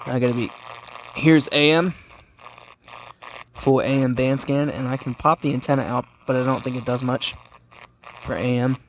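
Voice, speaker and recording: A man's voice: mid-range voice, steady tone, moderate pace, long pauses, a little hiss or hum, rough recording. A man giving a casual hands-on gadget review as a channel host, informal and repetitive as he thinks aloud.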